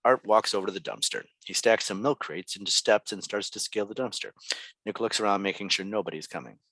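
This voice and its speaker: A man's voice: on the low side, fast, pushed and bright, no echo. A man reading stage directions as scripted narration at a table read.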